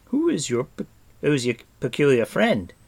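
A man's voice: medium pitch, wildly swooping pitch, normal speed, vocal fry, darkish tone, slightly rough, pitched above the performer's own voice, heavily acted. Man putting on a weary, raised-voice bard. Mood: puzzled. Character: weary, candid male travelling bard. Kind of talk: audiobook character voice.

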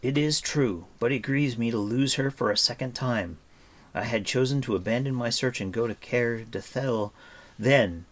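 A man voicing an earnest, determined young hero in a storybook, speaking solemnly. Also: lowish voice. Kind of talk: audiobook character voice.

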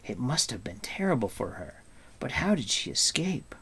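A man voicing an eager, naive boy in a storybook, earnest and wide-eyed, with breathy, halting questions. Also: medium pitch, sing-song, pushed and bright, full character voice. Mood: pitying.

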